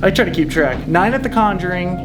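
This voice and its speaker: Young male ghost-hunting host, excitable and rambling, music bed underneath. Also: sits high, fairly quick. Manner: excitable, rambling, swears, repeats himself. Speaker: paranormal channel host. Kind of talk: casual excited chat.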